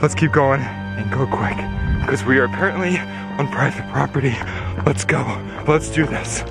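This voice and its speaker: A man's voice: mid-range voice, moderate pace, creaky, loud music behind the voice. A young man filming himself at arm's length as he hurries through the woods, talking urgently with gasps and exclamations. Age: young adult. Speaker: vlogger. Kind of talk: excited vlog narration. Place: woods outdoors. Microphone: handheld camera at arm's length.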